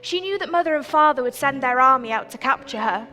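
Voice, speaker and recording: A woman's voice: high voice, expressive, quick pace, sharp tone. A woman playing an earnest, meek young princess in a stage pantomime, chatting and telling her story. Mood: storytelling. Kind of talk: pantomime stage acting and narration. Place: theatre stage. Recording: hall reverb, live stage production.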